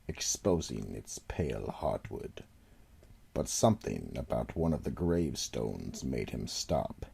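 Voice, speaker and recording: A man narrates a radio mystery with an extremely deep, husky voice. He speaks slowly and dramatically. His pitch rises and falls widely. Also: darkish tone. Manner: slow, dramatic.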